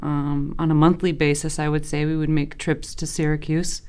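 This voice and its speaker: A woman's voice: sits low, little pitch movement, normal speed, dark tone, clear, resonant tone. A woman giving a thoughtful interview answer about family and perseverance.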